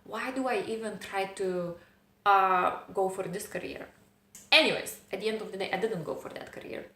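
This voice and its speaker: A woman in her thirties who speaks English as a non-native speaker with a noticeable foreign accent. She talks in a thoughtful, reflective monologue with pauses and fillers. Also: mid-range voice, a bit bright. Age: 30s. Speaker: vlogger. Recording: roomy echo.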